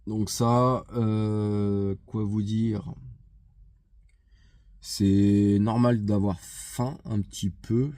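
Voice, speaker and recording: Congested man, low and dark, unhurried and halting, vlog chat. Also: level tone, dry recording, studio quality. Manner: hesitant, many fillers and restarts. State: congested with a head cold. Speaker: vlogger. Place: outside a gym.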